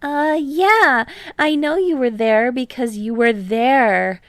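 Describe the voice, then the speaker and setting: A woman voicing an eager, teasing teenage boy athlete in an audiobook-style story reading, energetic and playful. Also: high-pitched, takes it slowly, no room sound, clean studio sound, pitched above the performer's own voice, full character voice. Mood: insistent. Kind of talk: audiobook character voice in fan fiction reading.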